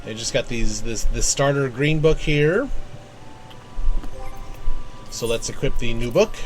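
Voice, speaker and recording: A man's voice: medium pitch, a little slow, soft background music. A man doing casual live gameplay commentary as a streamer, rambling and thinking aloud as he goes. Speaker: game streamer.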